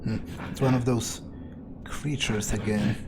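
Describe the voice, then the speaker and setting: A man narrating a video game playthrough in character, thinking aloud in a musing, halting way. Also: lowish voice, fairly even intonation, noisy background.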